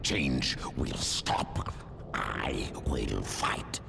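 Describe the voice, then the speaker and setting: Extremely deep, gravelly alien beast. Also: male voice, animated, loud music behind the voice, full character voice. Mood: solemn. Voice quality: gravelly growl. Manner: slow, solemn, terse. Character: huge, primal alien creature obsessed with essence. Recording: noisy game ambience behind.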